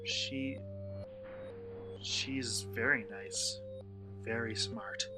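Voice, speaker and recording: A man's voice: mid-range voice, very slow, over music, poor audio. An actor playing a desperate, frightened man in hiding from monsters, urgent and faltering, in a horror audio drama. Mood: weary, hesitant.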